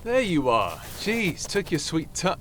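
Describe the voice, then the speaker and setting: A man playing an excitable, cheeky adventurer in an ASMR roleplay drama, animated and theatrical with a stammering, jokey delivery. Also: a little high, unhurried, noisy background. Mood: showy, upbeat.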